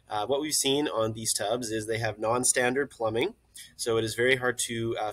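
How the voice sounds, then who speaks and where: A young man who runs a hot tub shop, talking casually at his computer through a screen-share tutorial with lots of "uh" fillers. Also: mid-range voice. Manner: relaxed and friendly, many "uh" fillers. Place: indoors, recording at a computer.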